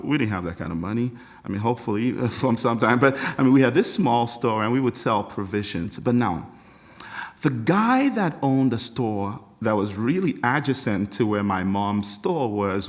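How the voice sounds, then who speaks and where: A middle-aged man from Nigeria with a Nigerian accent, a music educator chatting as a podcast guest, reflective and animated, laughing often as he tells stories. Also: medium pitch. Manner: reflective, animated storyteller with frequent laughs and restarts. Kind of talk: casual interview talk.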